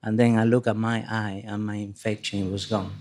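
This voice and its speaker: Low, flat-voiced man. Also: noisy background. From Spain. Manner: earnest, halting, restarts often. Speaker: audience member sharing a testimony.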